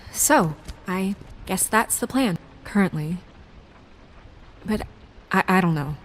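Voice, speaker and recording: A woman's voice: mid-range voice, lively intonation, takes it slowly, slight background noise, low-quality recording. An actress playing an earnest, anxious female official in a video game, speaking seriously. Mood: worried, doubtful.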